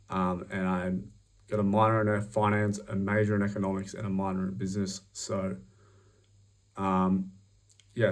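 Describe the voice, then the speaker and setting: Young Australian man, low voice, far off. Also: darkish tone. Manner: upbeat, self-promoting, many ums and restarts. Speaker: job applicant. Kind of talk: self-recorded job application pitch.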